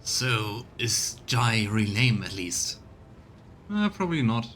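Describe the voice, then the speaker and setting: Man streaming game commentary, faint rumble. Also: very animated, slow, fairly bright tone. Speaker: let's play streamer. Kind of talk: casual game commentary, reading dialogue aloud.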